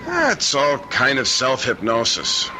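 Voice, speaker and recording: An actor playing a breezy, sceptical young American bridegroom in a radio drama, slangy and teasing. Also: male voice, medium pitch, huge pitch swings, slow pace. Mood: dismissive, teasing. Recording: noisy old radio drama recording.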